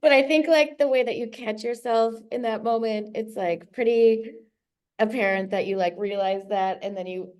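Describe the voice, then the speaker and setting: A woman hosting an online launch event, thanking a guest in a relaxed, filler-heavy, chuckling way. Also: a little high, moderate pace. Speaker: host.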